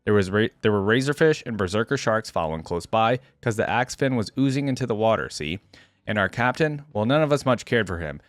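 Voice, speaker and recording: A weathered old harpooner played by a male narrator tells his tale over a drink in a storybook reading. The voice is fairly low and dry, with chatty, rustic pirate-like turns of phrase. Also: animated, fairly quick, dry recording, lightly acted. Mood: reminiscing. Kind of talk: dramatic reading of a first-person sea tale.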